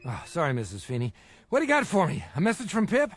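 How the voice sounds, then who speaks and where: Radio drama casting: middle-aged boozy bookie, high voice, swooping delivery, folksy flatterer. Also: male voice, fast, soft background music. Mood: cajoling, sheepish. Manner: folksy street talk, flattering banter. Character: worn-out, boozy, wheedling small-time bookmaker, forty-six.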